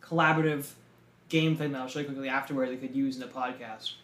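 A man's voice: mid-range voice, steady tone, fairly bright tone. A young man co-hosting a casual podcast chat, full of fillers like "like" and "you know". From Massachusetts. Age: young adult. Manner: fast, filler-heavy, full of likes and you knows. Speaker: podcast co-host. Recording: roomy echo.

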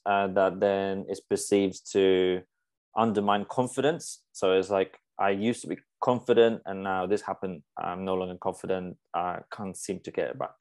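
A man's voice: sits low, a little mellow. A young man from Poland, a Polish native speaker, talking as a sports psychologist guest on a podcast, thoughtful and hesitant with many fillers and restarts. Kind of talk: casual podcast interview.

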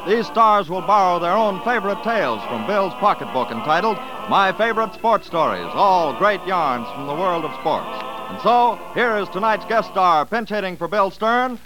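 High-pitched male vintage radio announcer. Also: expressive, normal speed, few pauses, low-quality recording. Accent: American. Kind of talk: 1940s radio announcing, scripted. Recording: vintage 1940s radio recording with music.

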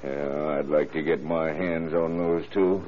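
A man playing a gruff, businesslike small-town undersheriff in an old-time radio crime drama, terse and plain-spoken. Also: a bass voice, fairly even intonation, unhurried, darkish tone. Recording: noisy, tinny old radio broadcast recording.

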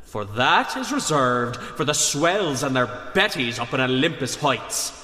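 A man's voice: mid-range voice, sing-song, unhurried, sharp tone. A man playing a rousing, theatrical Irish working-class rebel orator in a radio drama. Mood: wry. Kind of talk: radio drama political speech. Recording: echoey hall with long reverb.

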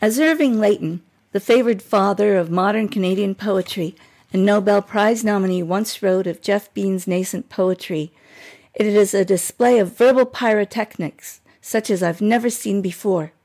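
A woman with a dark, mellow voice at a middle pitch. She reads a prepared introduction in the role of announcer. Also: normal speed. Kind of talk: scripted biography reading.

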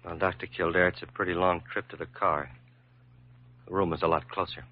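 A man's voice: low voice, fairly even intonation, fairly quick, lightly acted. An actor playing an earnest, self-doubting young male doctor in a vintage radio drama, speaking in a clipped way. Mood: downcast. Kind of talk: 1940s radio acting. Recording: dull vintage radio recording.

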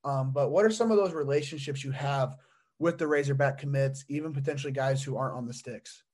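A man's voice: mid-range voice, a little fast, darkish tone. A young man hosting a sports podcast, asking long, rambling questions full of fillers and restarts. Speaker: podcast host. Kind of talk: casual sports interview.

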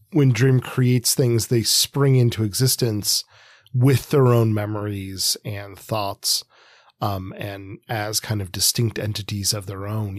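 A man with a fairly low voice, recorded dry and close. He talks as a podcast co-host offering analysis, feeling his way through each thought with pauses and qualifiers. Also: no echo. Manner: hesitant, measured, thinking aloud with qualifiers. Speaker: podcast co-host, comics expert. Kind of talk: conversational analytical discussion.

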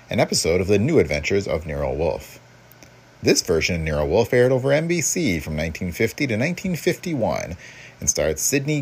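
A podcast host with a very low, creaky voice. He reads his scripted intro with lively swings in pitch and a husky edge.

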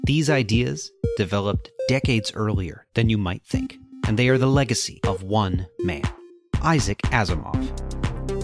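A man reading a scripted podcast promo as its host. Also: mid-range voice. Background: music.

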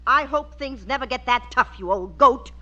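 A woman playing an indignant, sharp-tongued young woman in an old radio comedy. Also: sits high, lively intonation, normal speed. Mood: outraged. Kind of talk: 1940s radio sitcom acting. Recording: muffled old radio recording.

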